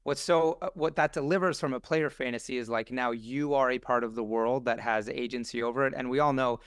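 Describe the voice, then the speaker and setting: A man explaining things casually on a podcast, thoughtful and a bit halting. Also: medium pitch, smooth, dry recording, studio quality.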